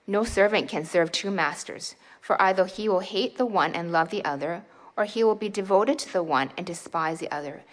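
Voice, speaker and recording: A woman reading a Bible passage aloud in a formal, measured, steady way. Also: fairly low pitch, poor audio. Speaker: scripture reader.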